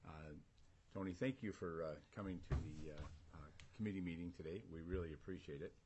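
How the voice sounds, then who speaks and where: An American man chairing a government committee meeting, speaking informally in a halting, folksy and courteous way. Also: sits low, a little mellow. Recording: noticeable background room noise.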